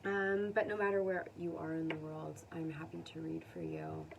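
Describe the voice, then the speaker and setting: An American woman giving a casual, unscripted tarot reading to viewers, conversational and musing, pausing to think aloud. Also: deep voice, huge pitch swings, gravelly. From United States. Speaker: tarot reader. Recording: distant mic with faint background noise.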